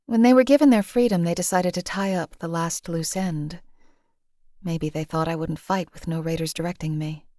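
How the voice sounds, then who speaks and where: A woman voicing a young female assassin in a game, speaking in a flat, clipped, unemotional way. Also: lowish voice, normal speed, no room sound, clean studio sound, close to an everyday voice. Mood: cold. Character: cold detached female assassin, young adult. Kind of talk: game companion voice lines.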